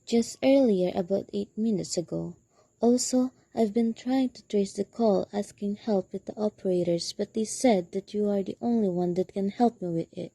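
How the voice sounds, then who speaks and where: A woman's voice: mid-range voice, normal speed, creaky, warm tone, dry recording. A young woman acting in a student radio drama as an anxious, demanding invalid wife alone at night. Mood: worried.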